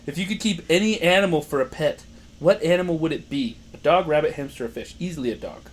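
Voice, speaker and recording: A young man with a loud voice, co-hosting a casual podcast, joking, laughing and reading quiz questions aloud. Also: a little high, fast, a little hiss or hum. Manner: joking, playful, laughs often. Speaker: podcast co-host.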